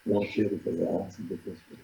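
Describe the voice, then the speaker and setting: A man's voice: low voice, level tone, brisk, gravelly, warm tone, breathy edge. A man calling in to the study group, giving brief, loose replies. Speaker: caller. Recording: muffled distant call audio.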